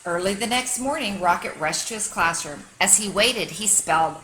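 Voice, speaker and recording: A woman's voice: medium pitch, expressive, normal speed, a bit bright, slight background noise, poor audio. A woman teacher reading a children's story aloud expressively, with an emphatic, teacherly delivery aimed at young children. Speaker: kindergarten teacher.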